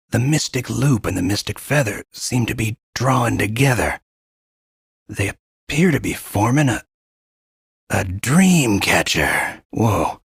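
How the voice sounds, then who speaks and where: An actor playing a folksy, wry mentor and guide in a fantasy video game, with a gruff, husky, drawling delivery. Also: male voice, extremely low pitch, no room sound, clean studio sound, exaggerated voice. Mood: wary, awed. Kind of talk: scripted game character narration.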